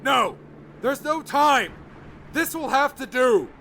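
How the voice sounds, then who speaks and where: A man voicing a desperate adventurer in an audiobook, shouting with strain. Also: extremely high pitch, expressive, takes it slowly, slight background noise, pitched above the performer's own voice, exaggerated voice. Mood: urgent shouting. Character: desperate young male adventurer shouting against wind. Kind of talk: audiobook character acting.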